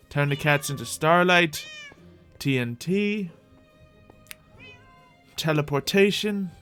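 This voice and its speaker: A man's voice: fairly high pitch, a bit bright. Theatrical male game streamer over music. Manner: theatrical, whimsical, rambling, talks to the audience. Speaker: livestreamer hosting a Minecraft roleplay. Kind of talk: in-character gameplay commentary, chatting with viewers.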